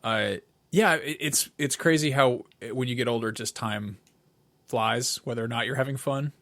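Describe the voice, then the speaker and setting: A middle-aged man with a mid-range voice chats as a podcast co-host. His delivery is informal and a little wry, like relaxed talk between friends. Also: medium pitch, normal speed. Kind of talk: casual conversational podcast chat.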